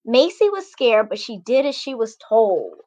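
Young woman talking to kids like a storybook author, encouraging, bit high pitched. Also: big pitch swings, moderate pace, a little mellow, dry recording. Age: young adult. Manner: encouraging, addresses children directly. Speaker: children's book author reading aloud. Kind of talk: storybook reading and talk to children. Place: small indoor room at home. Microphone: video call microphone.

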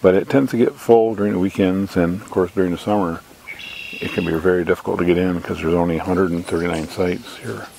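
A middle-aged man giving a casual, unscripted walk-through in a matter-of-fact way with hesitant pauses, talking outdoors from behind a handheld camera. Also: sits low, steady tone, creaky, warm tone, slight background noise. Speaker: campground reviewer and vlogger. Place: outdoors at a lakeside campground. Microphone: handheld camera mic, operator off screen.

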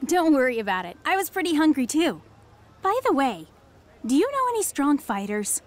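A woman's voice: sits high, big pitch swings. A woman playing an eager, bubbly young jiu-jitsu fighter in a video game, bouncy and enthusiastic in her delivery. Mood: friendly, excited. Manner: bouncy, enthusiastic, drawn-out exclamations. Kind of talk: video game cutscene acting. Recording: loud music behind.

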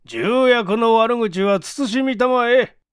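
A man's voice: very high-pitched, slow pace, clean tone, no echo, studio quality, voice pushed up. A man voicing a middle-aged, authoritative company president in a story reading, with a composed manner. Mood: reproving.

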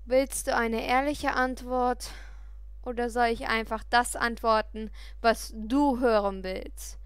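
A woman's voice: on the high side, fairly even intonation, slow, clear, resonant tone, no room sound, studio quality. Woman acting a furious, defiant teenage girl. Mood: sarcastic. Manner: sarcastic, forceful, confrontational. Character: angry, defiant, foul-mouthed sixteen-year-old girl.

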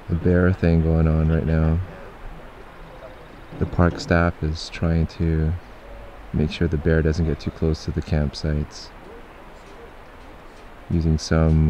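Deep-voiced man vlogging outdoors. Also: mellow. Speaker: vlog host. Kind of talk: casual camping and off-road vlog narration. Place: outdoors at a campground and trails. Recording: background noise, outdoors on location.

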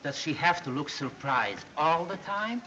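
A man playing a deadpan, critical studio makeup artist in an old film comedy, musing in drawn-out phrases. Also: a little high. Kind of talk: 1930s film comedy acting. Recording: muffled, hissy old film soundtrack.

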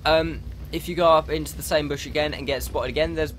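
A man's voice: on the high side, steady tone, brisk, a bit bright, slight background noise. A young man with a stuffy nose from a cold, casually commenting over a game replay and explaining tactics with lots of ums. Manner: rambling, explanatory, many ums. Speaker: gaming channel host commenting a replay.

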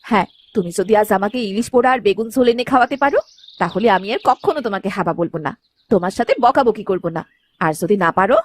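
A woman voicing a demanding, sharp-tongued young village wife in a cartoon folktale, nagging and sarcastic. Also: medium pitch, animated, fast, close to an everyday voice. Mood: scornful, angry. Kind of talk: fairy-tale cartoon acting.

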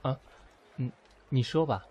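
A man's voice: medium pitch, expressive, unhurried, mellow, breathy edge. A man playing a proud, wounded math teacher in an audio drama, speaking in a curt, cool way. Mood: annoyed, insistent. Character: proud, wounded male math teacher rejecting his former lover. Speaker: voice actor in an amateur audio drama. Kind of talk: acted audio drama dialogue. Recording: music behind the voice.

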